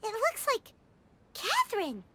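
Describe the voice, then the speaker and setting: Squeaky, tiny childlike companion. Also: female voice, huge pitch swings, measured pace, heavily acted. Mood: excited, curious. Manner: chirpy, exclaiming, refers to self in third person. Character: tiny excitable childlike floating companion, female. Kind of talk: English video game voice acting. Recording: music playing behind the voice. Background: game music.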